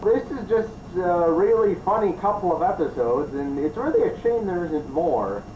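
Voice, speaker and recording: A man's voice: sits high, lively intonation, moderate pace, highs cut off. Echoey, distant male review host. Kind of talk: improvised review commentary. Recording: roomy, distant mic, clearly noisy.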